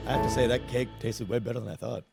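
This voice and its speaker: An actor playing a hapless, groggy male coworker in a comedic audio drama, husky and casual, grumbling along. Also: mid-range voice, steady tone, quick pace, over loud music. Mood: weary.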